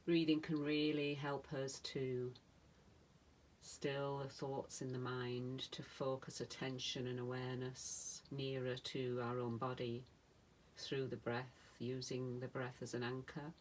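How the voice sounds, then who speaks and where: A woman meditation teacher leading a guided relaxation in a soothing manner, speaking in short phrases with long pauses. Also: very deep voice, level tone, a little hiss or hum, poor audio. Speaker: yoga nidra meditation teacher.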